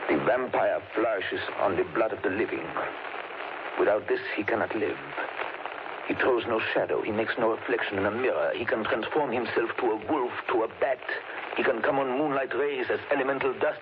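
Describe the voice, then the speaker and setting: Actor as commanding vampire-hunting professor, dull old radio. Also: male voice, fairly low pitch, background noise, close to an everyday voice. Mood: solemn, rousing. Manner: oratorical, deliberate, urgent. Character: grave, commanding male professor and vampire hunter. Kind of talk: 1930s radio drama acting.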